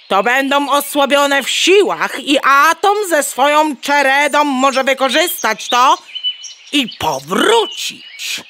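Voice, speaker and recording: A woman's voice: high voice, pushed and bright, slight background noise, full character voice. A woman voicing a bossy, worried talking hen with clucking tics in a children's radio play. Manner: scolding, emphatic, stammering clucks. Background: birdsong.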